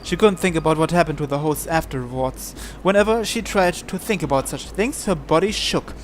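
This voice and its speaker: A man's voice: fairly high pitch, normal speed, a little hiss or hum. A man reading visual novel narration aloud, sometimes stumbling and repeating words, with music behind. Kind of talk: live read-aloud narration in English.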